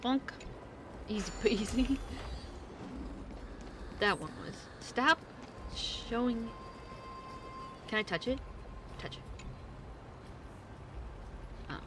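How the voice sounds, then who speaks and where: A woman doing casual live game-stream commentary in an expressive, exclamatory, giggly way, swearing freely. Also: on the high side, extremely slow, pauses often, background noise. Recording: game music behind the voice. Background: video game music.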